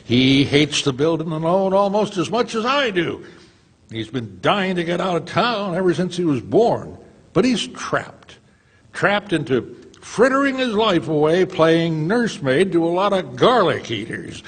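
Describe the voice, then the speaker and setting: An actor playing a scheming, condescending old rich banker in old-time radio drama style, pompous and sneering, on a theater stage. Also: male voice, on the high side, big pitch swings, measured pace, husky, exaggerated voice. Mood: smug, persuasive. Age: older. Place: live stage in a large theater. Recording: echoey theater hall.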